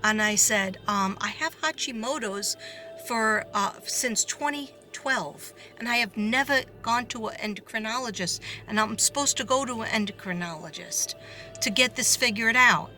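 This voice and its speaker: A hoarse woman talking casually to her viewers in a rambling way, full of fillers and restarts. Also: a little high, normal speed, pushed and bright, faint music behind. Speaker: psychic reader.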